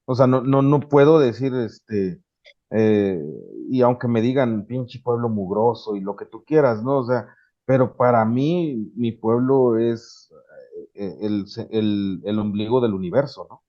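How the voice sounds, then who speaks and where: A man's voice: medium pitch, measured pace, highs cut off. A man from Morelos with a Mexican Spanish accent, a podcast guest talking earnestly with many restarts. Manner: earnest, with frequent restarts and hesitations. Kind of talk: casual interview conversation.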